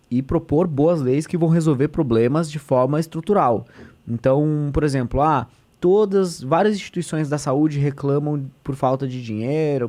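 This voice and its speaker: A man's voice: medium pitch, mellow. Earnest São man explaining politics. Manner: earnest, explanatory, many fillers and restarts. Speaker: state deputy, podcast guest. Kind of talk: conversational explanation.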